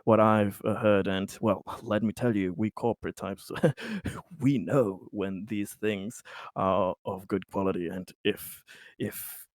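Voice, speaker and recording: A man acting a furtive, ingratiating male corporate type in a tabletop game, with halting delivery. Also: lowish voice, fairly even intonation, dry recording, clean studio sound, pitched below the performer's own voice. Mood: smooth, sly.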